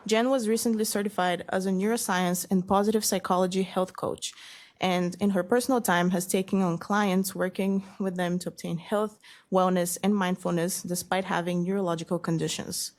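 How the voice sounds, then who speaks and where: A woman's voice: lowish voice, steady tone, normal speed. A woman hosting a seminar, welcoming the audience hesitantly before introducing the guest speaker. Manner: hesitant, many fillers, then reads bio. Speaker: seminar host. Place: lecture room.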